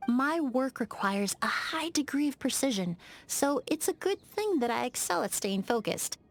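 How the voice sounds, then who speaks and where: Game role: refined young woman perfumer, higher-pitched, exacting and courteous, measured diction, music underneath. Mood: matter-of-fact. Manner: measured, articulate, politely blunt. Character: poised, precise, polite young woman perfumer. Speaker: game character voice actor. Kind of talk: scripted video game dialogue.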